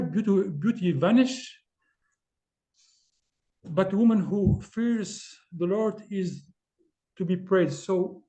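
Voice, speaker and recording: A man from Tunisia speaking English as an on a video call, halting with many fillers and restarts. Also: high voice, mellow, low-quality recording. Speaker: class participant. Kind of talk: casual discussion on a video call.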